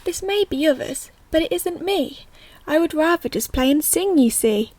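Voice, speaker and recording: A woman playing a sweet, gentle little girl witch in a children's audio play, with an earnest, sing-song rhyming delivery. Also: high-pitched, animated, moderate pace, no echo. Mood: earnest, hopeful.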